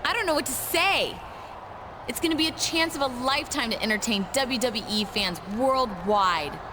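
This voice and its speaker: Actress as eager polite female wrestling personality, animated intonation, video game, background noise. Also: fairly high pitch, normal speed, natural voice. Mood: thrilled. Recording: clear background ambient noise.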